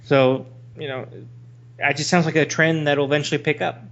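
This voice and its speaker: A man's voice: a little high, little pitch movement, brisk, a bit bright. A man co-hosting a podcast, talking casually in an opinionated, rambling way. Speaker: podcast co-host. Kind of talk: casual discussion of video games. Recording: dull lo-fi voice recording.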